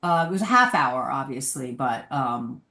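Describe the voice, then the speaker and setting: A man's voice: mid-range voice, expressive, normal speed, bright, forceful tone, reverberant. A man chatting casually on a podcast.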